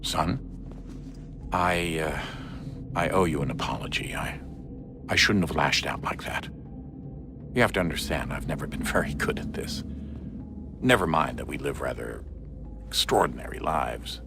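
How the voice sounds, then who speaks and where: An actor playing a middle-aged assassin father speaking haltingly and apologetically to his grown son in a game cutscene. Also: extremely low pitch, expressive, creaky, over music, heavily acted. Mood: apologetic. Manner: halting, apologetic, sometimes whispered. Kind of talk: video game cutscene acting. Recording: game score behind voice.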